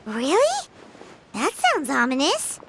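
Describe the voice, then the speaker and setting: A woman voicing a small, childlike fairy companion in a video game, eager, breezy and expressive. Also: high voice, slow pace, heavily acted. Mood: curious. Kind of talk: video game character dialogue. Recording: game music behind the voice.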